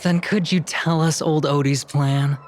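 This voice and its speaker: A man's voice: on the high side, fairly even intonation, a little slow, a little hiss or hum, lightly acted. A man playing a suave, playful, calculating young businessman in a video game, speaking with polished, theatrical eloquence. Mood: probing, sly. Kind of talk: dubbed anime game dialogue.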